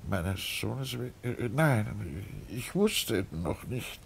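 An actor in a radio crime drama playing an anxious, evasive, sickly middle-aged father, halting and flustered as he talks. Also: lowish voice, sing-song, gravelly, slight background noise, exaggerated voice. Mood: evasive, feeble.

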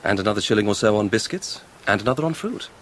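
An English actor playing a confident, patronising teenage schoolboy in a radio drama, with a smooth, lordly manner. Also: male voice, fairly low pitch, lively intonation, quick pace, a little hiss or hum, natural voice. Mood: breezy. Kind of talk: British radio drama acting.

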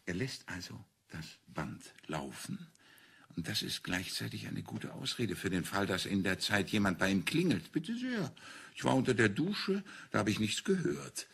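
An actor playing a shrewd priest and amateur detective in a German radio crime drama, explaining deliberately and with self-assurance. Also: male voice, fairly low pitch, very animated, creaky, a bit bright, breathy edge, exaggerated voice. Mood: assured, triumphant.

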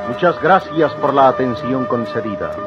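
A man with a Mexican accent and a husky voice, giving dramatic scripted narration as an old radio announcer. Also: medium pitch, expressive, moderate pace. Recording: muffled old radio recording, faint music.

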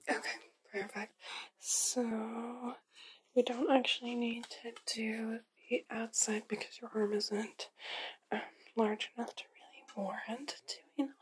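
A young woman whispering in an ASMR medical roleplay, giving hushed, soft instructions step by step as an examiner. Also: fairly high pitch, little pitch movement, unhurried, husky, poor audio. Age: young adult. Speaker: ASMR performer role-playing a medical examiner. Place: small indoor studio with dark backdrop.

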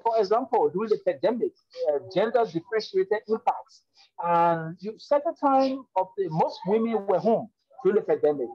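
A man's voice: high voice, breathy edge, dry recording. A man, speaking earnestly with many fillers and restarts as a webinar participant on a video call from a public space. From Liberia. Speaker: webinar participant asking a question. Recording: dry, dull lo-fi call audio.

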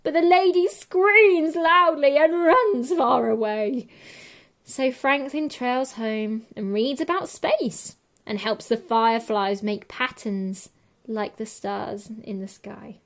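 A woman with a fairly high voice reads a children's story aloud. Her pace is slow and measured, with expressive phrasing suited to a picture book. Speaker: teacher reading picture books.

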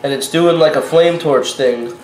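A man's voice: on the high side, a little slow, darkish tone. A man in his thirties with a head cold, talking indignantly to the camera in his home kitchen as a vlogger. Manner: indignant, warning tone. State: stuffed up with a cold. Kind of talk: casual home vlog. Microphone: camera mic at a short distance. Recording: echoey kitchen, faint noise.

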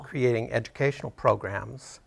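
A man's voice: medium pitch, moderate pace, creaky, husky. An American man in his sixties from Montana, explaining things casually as an interview guest. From Montana, United States. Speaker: interview guest, theater writer and director. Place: TV studio.

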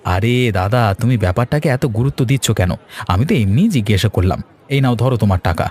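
Man voicing a grumbling lower-pitched older village man. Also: expressive, fairly quick, a little mellow, slightly rough, some chatter behind. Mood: placating. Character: grumbling older village man, husband and bargain-hunting customer. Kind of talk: animated cartoon story dialogue.

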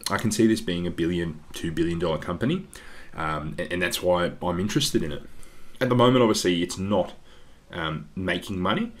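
A man hosting an investing channel, talking casually through slides with a congested voice, often calling his viewers "guys" and filling pauses with ums. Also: low-pitched. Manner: chatty, keeps saying "guys", frequent ums. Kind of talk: casual stock analysis over slides. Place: voice-over on screen-share slides.

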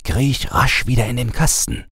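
A man voicing a secretive, watchful old gentleman in a storybook, hushed and urgent. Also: mid-range voice, fairly even intonation, normal speed, breathy edge, dry recording, studio quality, voice pushed up. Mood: hushed urgency.

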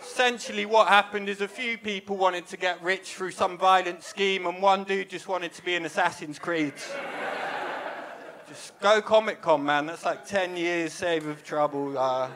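Young man, stand-up comedian, high voice, bright tone, wry deadpan, handheld mic, small club stage. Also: normal speed. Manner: wry, self-deprecating deadpan delivery. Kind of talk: stand-up set. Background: audience laughter.

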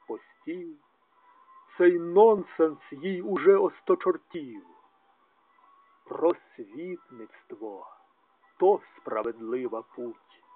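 Guy reciting poems really dramatically n slow, kinda high voice, loud then quiet, sounds like thru a phone. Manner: declamatory, with strong rises and drops in loudness. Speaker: translator reading own poetry translations. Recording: tinny, narrow phone-like sound.

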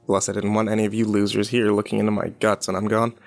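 A man's voice: on the low side, little pitch movement, fast talker, vocal fry. An actor playing a weary, haunted, cynical man who broods and swears through a first-person horror audio drama. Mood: wry, guarded. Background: music behind the voice.

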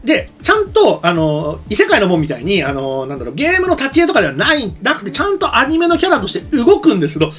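Very fast, animated man chatting. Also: high voice. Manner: rambling, self-correcting, explanatory. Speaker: anime commentator and show host. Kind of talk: casual chat explaining anime. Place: small indoor streaming studio. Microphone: desk microphone.

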